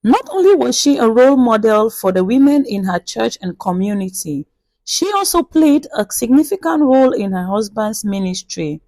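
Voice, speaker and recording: A woman reading a scripted story as narrator, in a measured, dramatic storytelling way. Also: a little high, very animated, a little mellow, no room sound, poor audio.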